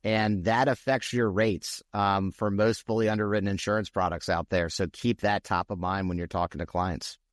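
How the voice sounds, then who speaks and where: A man co-hosting a podcast, explaining things in a steady, slightly salesy way. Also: on the low side, normal speed, hardly any pauses, dry recording, clean studio sound. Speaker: podcast co-host. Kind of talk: casual podcast discussion.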